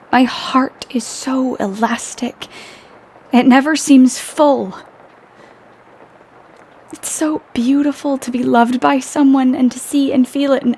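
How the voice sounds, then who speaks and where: A woman acting in an audio play as an outspoken young woman writer, earnest and expressive. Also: on the high side, husky.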